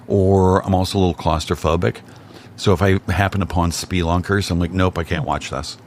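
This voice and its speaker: Deep-voiced man, dry humor. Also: steady tone, brisk, a little hiss or hum. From Cincinnati, Ohio. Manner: dry, self-deprecating humor. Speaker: guest. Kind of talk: casual chat.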